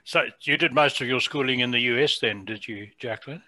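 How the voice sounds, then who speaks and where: A man's voice: medium pitch, fairly quick. A man with a Southern African English accent, co-hosting an interview podcast with casual chat and encouraging questions. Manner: warm, encouraging questions with frequent short acknowledgements. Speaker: podcast co-host.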